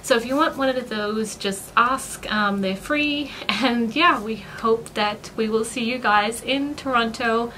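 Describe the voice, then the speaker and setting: A woman's voice: on the high side, a little slow, hardly any pauses, sharp tone. A young woman vlogging to camera about her dog, chatty and full of fillers and light laughs. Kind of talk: casual vlog talk to camera. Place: living room floor, then a bedroom vanity. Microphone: camera across the room. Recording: roomy, distant, noisy.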